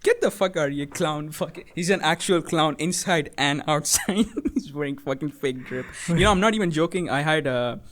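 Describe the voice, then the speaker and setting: A man's voice: a little high, normal speed, few pauses, a bit bright. A young man co-hosting a podcast in casual banter, slangy, profane and jokey. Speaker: podcast co-host.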